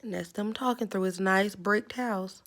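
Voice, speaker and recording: A woman's voice: medium pitch, moderate pace, fairly bright tone. A woman reading a children's story aloud, adding simple instructive explanations as she goes. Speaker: storybook reader.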